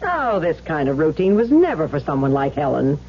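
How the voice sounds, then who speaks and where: Casting: exclamatory suburban busybody, expressive and blunt, dull-sounding old radio recording. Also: female voice, low-pitched, moderate pace, a little hiss or hum. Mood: gossipy, scornful. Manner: chatty, exclamatory, scornful. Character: gossipy, blunt suburban housewife neighbor. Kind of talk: old-time radio drama acting.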